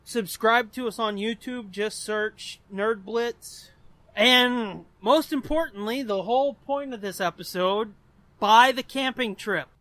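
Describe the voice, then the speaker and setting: A man's voice: high-pitched, takes it slowly, sharp tone, no echo. A man co-hosting a podcast in casual, profane, rambling chat. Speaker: podcast co-host.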